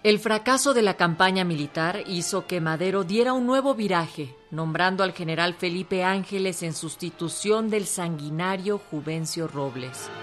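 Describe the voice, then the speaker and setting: Casting: female narrator, slightly low register, scripted history narration over a soft music bed. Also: normal speed, few pauses. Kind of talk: scripted documentary-drama narration. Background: faint music.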